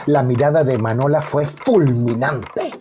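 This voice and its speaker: A man's voice: mid-range voice, unhurried, mellow, slight background noise. A man giving dramatic scripted narration for a radio soap. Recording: faint music under narration.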